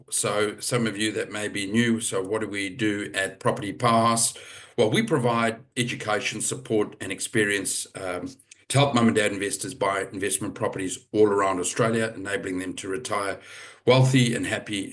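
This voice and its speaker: A middle-aged man from Zimbabwe hosts a webinar over a video call, his voice sitting fairly low. He talks in a relaxed, chatty way, full of ums and restarts. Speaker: webinar host. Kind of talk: hosting a live property webinar.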